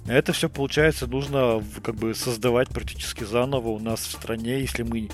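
A man's voice: medium pitch, steady tone, a little fast. A man hosting a casual podcast discussion, often repeating himself as he searches for words. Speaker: podcast host.

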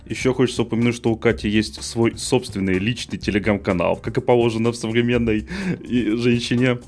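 A man talks briskly as the host of a casual podcast. His voice sits at a middle pitch. Music runs behind his conversational remarks. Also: level tone, a little mellow.

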